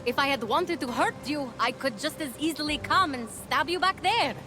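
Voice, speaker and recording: A woman's voice: high voice, moderate pace, music in the background, natural voice. A woman voicing an earnest, plucky young heroine on a quest in a video game cutscene. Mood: eager, friendly.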